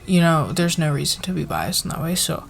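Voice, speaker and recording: A woman playing a flustered, fair-minded company boss in a casual roleplay ASMR, with a halting, informal, giggly manner. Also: low-pitched, sing-song, vocal fry, exaggerated voice. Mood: earnest, reassuring.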